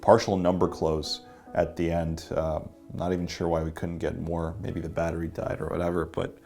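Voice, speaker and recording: A man's voice: deep voice, level tone, moderate pace, creaky, mellow. A man talking as a dating coach, giving a casual commentary breakdown of his own footage with lots of filler words. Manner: casual, laid-back, many fillers. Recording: faint background music.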